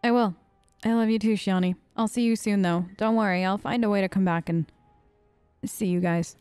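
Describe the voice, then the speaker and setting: A woman's voice: mid-range voice, fairly even intonation, moderate pace, a little mellow, clear, resonant tone, no room sound, soft background music. A woman gamer speaking informally and conversationally as she role-plays her character in a let's play.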